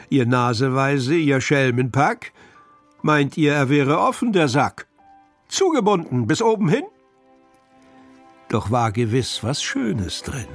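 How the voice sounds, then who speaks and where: Slow-paced man reciting verse over music. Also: medium pitch, slightly rough. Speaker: poetry reader. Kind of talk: recited children's Christmas poems.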